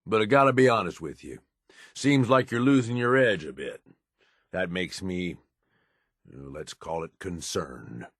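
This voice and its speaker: Jaded middle-aged American raider for a game: deep, raspy, gruff and blunt, recorded close and dry. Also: male voice, lively intonation, unhurried, a bit bright, dry recording, exaggerated voice. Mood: concerned, frank. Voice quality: husky, gravelly low voice. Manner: gruff, blunt, folksy tough talk. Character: jaded, foul-mouthed middle-aged male raider right-hand man. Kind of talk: video game companion dialogue.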